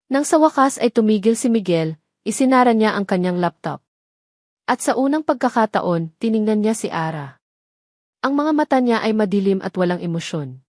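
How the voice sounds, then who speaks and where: A woman's voice: medium pitch, a little mellow, no echo, clean studio sound. A woman reading scripted dramatic story narration as the narrator.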